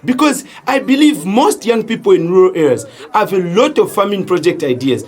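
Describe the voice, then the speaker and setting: A young Zambian man from the village in an audio drama. His voice is high and animated in its melody. He speaks with earnest emphasis, as a nephew among his city relatives. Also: moderate pace. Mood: earnest, pained. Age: young adult.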